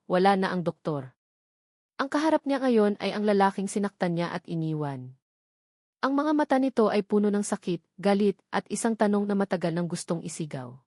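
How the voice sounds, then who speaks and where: A woman's voice: medium pitch, a little mellow, dry recording, clean studio sound. A woman narrator reading a scripted romance story in a dramatic storytelling style.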